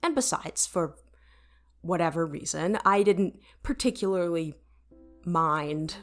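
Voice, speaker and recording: A woman's voice: fairly low pitch, animated, slow pace, faint music behind. A woman playing a vain, witty and easily flustered starship computer in an audio drama, talking in a wordy, technical way. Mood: exasperated, dry.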